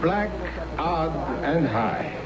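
An actress in a 1970s radio drama playing a brisk casino croupier, calling out bets in set phrases. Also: female voice, deep voice, sing-song, over a noisy crowd, full character voice. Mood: brisk, detached. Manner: formulaic calling out. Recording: dull, very noisy old radio recording.

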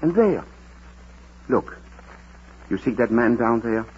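An actor playing a kindly parish priest, thoughtful and measured, in a Golden Age radio drama. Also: male voice, mid-range voice, lively intonation, darkish tone, husky, full character voice. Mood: reflective, hopeful. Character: gentle, wise, patient male parish priest. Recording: dull old radio drama recording.

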